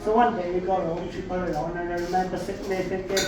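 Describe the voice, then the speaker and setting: Brash sweary Welsh comic, high voice. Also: male voice, little pitch movement, fast talker, noisy background. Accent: South Welsh. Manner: brash, sweary, self-mocking storytelling with punchy raised punchlines. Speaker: stand-up comedian. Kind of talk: stand-up comedy storytelling. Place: comedy club stage. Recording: echoey club recorded from a distance.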